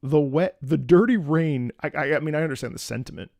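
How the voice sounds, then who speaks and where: A man from the United States, an opera singer, chatting casually as he reacts to music, laughing often and thinking out loud. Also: a little high, fairly quick, gravelly, dry recording, clean studio sound. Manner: chatty, laughs often, talks through half-formed thoughts.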